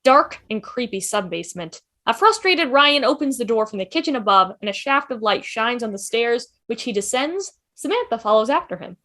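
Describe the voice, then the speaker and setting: A woman's voice: a little high, lively intonation, moderate pace, few pauses, fairly bright tone. A woman reading stage directions expressively as scripted narration for a table read over a video call.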